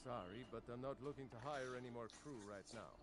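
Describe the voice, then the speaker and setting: A man's voice: mid-range voice, quick pace, natural voice. An actor playing a curt, strict male ship captain in a game. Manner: curt, commanding.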